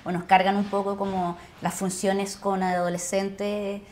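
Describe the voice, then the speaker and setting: A woman's voice: mid-range voice, level tone, a little slow, a little mellow, slight background noise. A woman with a Chilean accent, an actress speaking casually as a podcast guest.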